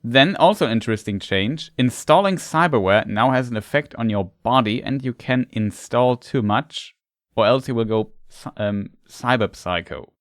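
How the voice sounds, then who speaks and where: A man's voice: mid-range voice, lively intonation, normal speed, hardly any pauses, no room sound, clean studio sound. A young man with a German accent, a German native speaker, chatting casually with his stream chat and sometimes searching for words. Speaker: streamer reacting to a showcase.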